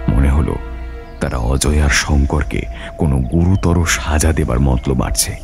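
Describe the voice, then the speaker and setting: A man's voice: extremely low pitch, measured pace, gravelly, music in the background. A man narrating an adventure story as a scripted, dramatic audio tale.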